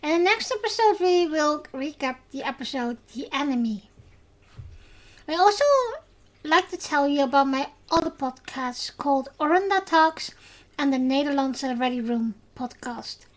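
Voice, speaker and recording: A woman's voice: high voice, animated, normal speed. A woman with a Dutch accent, a native Dutch speaker, hosting a casual podcast and talking hesitantly with many filler sounds. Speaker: podcast host.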